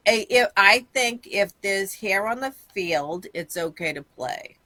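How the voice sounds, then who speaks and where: A woman's voice: medium pitch, animated, unhurried, sharp tone. A woman, chatting bluntly and rambling as a guest in an interview. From Massachusetts, USA. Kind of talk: candid casual interview chat.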